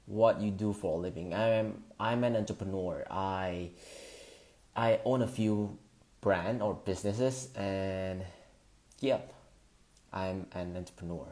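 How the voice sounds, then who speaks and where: A man, hosting a casual live stream, greeting viewers and talking in halting bursts with lots of fillers. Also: sits low, warm tone. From Indonesia. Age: 20s.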